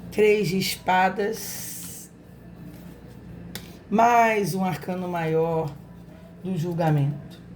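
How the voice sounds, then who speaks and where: A hoarse woman with a Brazilian accent speaks slowly and unhurriedly, her voice sitting a little low. She chats affectionately to her viewers as she runs a spiritual tarot reading. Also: dark tone, a little hiss or hum. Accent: Brazilian Portuguese. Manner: affectionate, chatty, talks straight to viewers. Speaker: tarot reader, channel host. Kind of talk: casual spiritual reading to camera.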